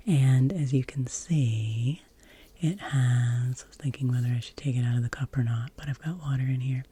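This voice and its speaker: A woman softly guiding a relaxation practice as an ASMR host, with a breathy, whispery voice and a slow, soothing, gentle manner. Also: very deep voice. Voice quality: breathy, whispery soft-spoken.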